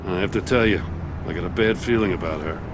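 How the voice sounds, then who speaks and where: Male actor for skeptical old-school police chief in a game, gruff lower register, clear ambient noise behind. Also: quick pace, natural voice. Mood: wary. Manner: plain-spoken, gruff, skeptical. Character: gruff, wary, principled male veteran police commissioner. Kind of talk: video game voice acting.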